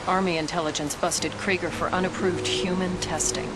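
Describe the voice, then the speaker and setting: A woman's voice: fairly low pitch, fairly even intonation, moderate pace, very noisy, natural voice. A woman playing a cool, capable government agent in a video game cutscene, dry and businesslike. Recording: loud music under the voice.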